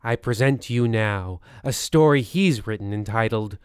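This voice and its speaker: A man playing a pompous, misanthropic host of a spooky anthology show, narrating in a formal, deliberate, theatrical way. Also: on the low side, smooth, no room sound, clean studio sound, close to an everyday voice. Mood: grandly ominous.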